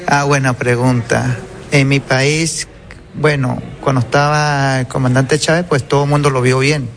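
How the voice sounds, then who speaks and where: A man's voice: mid-range voice, level tone, measured pace, gravelly. A middle-aged man from Venezuela, telling his migration story in an interview in an earnest way.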